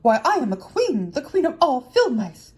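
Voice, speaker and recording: A woman voicing a tiny, regal field mouse queen with a squeaky little voice and an imperious, exclaiming manner, from a storybook. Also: sing-song, brisk, warm tone. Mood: indignant.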